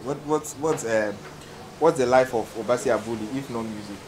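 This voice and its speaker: A man's voice: medium pitch, moderate pace. A man asking casual interview questions, informal and often rephrasing what he asks. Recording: clearly noisy background ambience.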